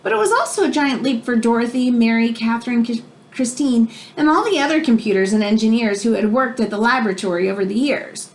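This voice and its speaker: Higher-voiced woman reading a book aloud. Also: a little fast. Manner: expressive, explains words for young listeners. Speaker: read-aloud storyteller. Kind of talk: reading a children's book aloud, with informal asides.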